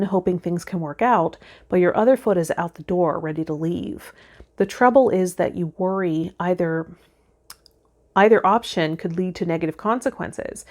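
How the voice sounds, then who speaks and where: Female tarot vlog host, lowish voice, spiritual guidance addressed straight to viewers. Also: darkish tone. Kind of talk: spiritual guidance, part read aloud, part casual talk to viewers.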